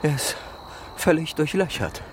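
An actor playing a polite, sceptical, long-suffering manservant who objects dryly and deferentially in an audio drama. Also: male voice, on the high side, expressive, a little slow, a little hiss or hum. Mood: exhausted. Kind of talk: musical audio drama acting. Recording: music under the voice.

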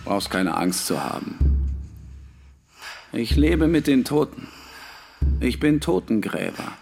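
Old gravedigger, eerie, chummy, gravelly voice, clipped folksy phrases, radio drama, music behind. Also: male voice, medium pitch, big pitch swings, takes it slowly, exaggerated voice. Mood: chummy, uncanny. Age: older. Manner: folksy, insinuating, clipped phrases with tag questions. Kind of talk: radio play dialogue.

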